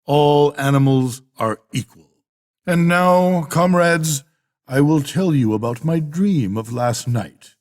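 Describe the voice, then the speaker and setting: An older man voicing an old boar, a solemn, rousing elder of farm animals, giving a measured, emphatic storybook speech. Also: mid-range voice, a little mellow, lightly acted. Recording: long hall-like reverb.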